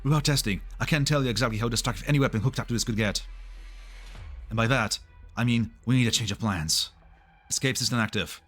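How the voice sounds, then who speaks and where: A man's voice: mid-range voice, fast talker, fairly bright tone, soft background music, close to an everyday voice. A man playing an earnest, analytical tech specialist in a game fan dub, protective and precise as he explains. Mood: alert, tense. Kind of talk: scripted anime game dub acting.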